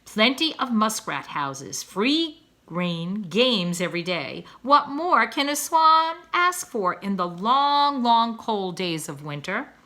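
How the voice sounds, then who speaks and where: A proud father swan from a children's storybook, acted out by a woman, speaking in grand, florid declamation. The voice is bright and forceful, with plenty of rise and fall, as if every sentence were a lecture to his young. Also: medium pitch, very animated, slow, full character voice. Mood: boastful, enticing. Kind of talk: children's storybook read-aloud.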